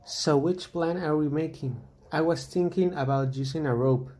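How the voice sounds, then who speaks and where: Higher-voiced monster hunter played by a man. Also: normal speed, darkish tone, natural voice. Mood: urgent. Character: monster hunter planning a trap. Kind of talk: amateur radio drama acting.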